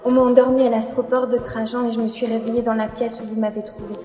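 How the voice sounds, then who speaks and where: A woman's voice: a little high, level tone, fast talker, mellow, echoey room, in a large hall, faint music behind, lightly acted. An actress playing a young woman rescued from captivity who talks in short, breathless questions. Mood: anxious. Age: young adult. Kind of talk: amateur audio drama acting.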